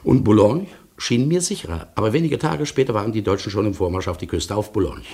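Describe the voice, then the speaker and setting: A grieving man telling of wartime loss in a radio play. His voice is low, gravelly and husky, and he narrates with grave, dramatic weight. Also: fast, a little mellow. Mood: agitated recounting.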